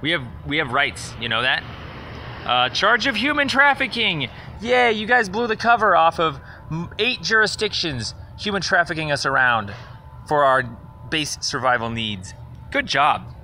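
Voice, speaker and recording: A man's voice: a little high, sing-song, normal speed, pushed and bright, a little hiss or hum. A man leaving a rambling, accusatory phone message in a sarcastic, confrontational, indignant manner. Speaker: caller leaving a voicemail complaint.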